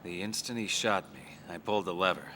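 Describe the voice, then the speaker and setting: Male game role: easygoing veteran flyer, lowish yet forceful voice, wry storyteller, faint ambient noise. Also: fairly even intonation, brisk. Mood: recounting, tense. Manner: wry, conversational. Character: plucky, self-mocking former fighter pilot. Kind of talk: storytelling interview acting.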